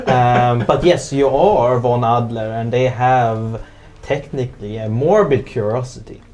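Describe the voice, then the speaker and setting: A game master improvising narration for a tabletop roleplay in a halting, hesitant way. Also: medium pitch, darkish tone, slight background noise.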